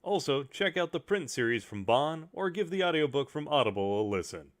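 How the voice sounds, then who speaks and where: A man's voice: a little high, very animated, a little fast. A man reading a scripted outro announcement as a podcast announcer.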